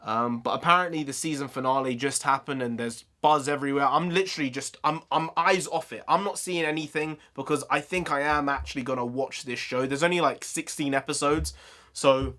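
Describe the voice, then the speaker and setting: A man ranting casually in an opinion vlog, his voice going hoarse, with lively swings in his delivery. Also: a little high, brisk. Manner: chatty, ranting, animated sign-off. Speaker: YouTube vlogger.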